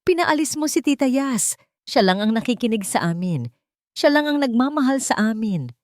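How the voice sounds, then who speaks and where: A woman's voice: on the high side, big pitch swings, moderate pace, darkish tone, no room sound, clean studio sound, voice pushed up. A woman voicing a hurt, accusing small child in an audiobook drama. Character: second twin, hurt child defending beloved nanny.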